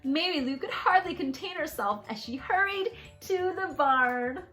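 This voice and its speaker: A woman host reading a children's picture book aloud in an animated, expressive storytelling way with drawn-out phrasing. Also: high voice, soft background music.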